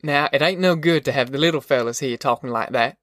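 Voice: mid-range voice, brisk, fairly bright tone, no echo, studio quality, lightly acted. A narrator voicing a worn-down, proud farm father who grumbles sarcastically in rustic dialect for an audiobook. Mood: sarcastic, grumbling. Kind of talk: audiobook character voice.

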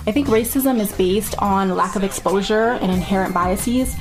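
A woman reading a personal reflection in a calm, deliberate way. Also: mid-range voice, soft background music. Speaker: contributor.

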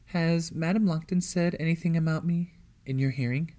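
A man's voice: fairly high pitch, steady tone, moderate pace, warm tone, pitched above the performer's own voice, natural voice. A man voicing a young servant girl who is polite, earnest and pleading, in a storybook reading. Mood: sweetly careful.

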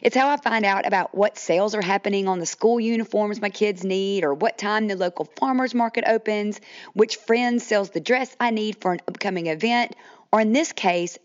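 Croaky Southern woman podcast host. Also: medium pitch, normal speed, lo-fi sound. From Mississippi, US. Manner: chatty, says "y'all", addresses listeners directly. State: croaky from allergies. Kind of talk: casual podcast monologue.

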